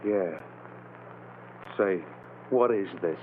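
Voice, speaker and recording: An actor playing a jumpy, guilty small-time gangster in an old radio drama, with slangy tough talk. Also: male voice, low voice, extremely slow. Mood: suspicious, tough. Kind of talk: 1940s radio drama acting. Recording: noisy, narrow-band old radio broadcast.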